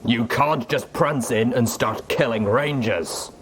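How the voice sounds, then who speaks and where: A man voicing a stern armored ghoul stallion, a veteran soldier, gruff and blunt in a story reading. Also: medium pitch, slow pace, a loud crowd, pitched above the performer's own voice, lightly acted. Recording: clear background noise, clicks.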